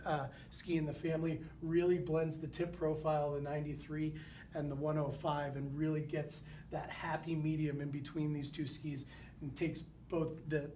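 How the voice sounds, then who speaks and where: Flat-toned man pitching products. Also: a little hiss or hum. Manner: steady, informative pitch with a few fillers. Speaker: product manager. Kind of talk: product presentation to camera. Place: indoor showroom with wooden walls.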